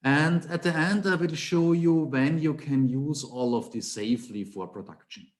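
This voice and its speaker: A man's voice: a little high, normal speed. A middle-aged man from Austria with an Austrian German accent, giving a technical conference talk over a webcam call in a small home office, explaining with rhetorical questions and emphatic repetition. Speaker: conference speaker, Angular trainer.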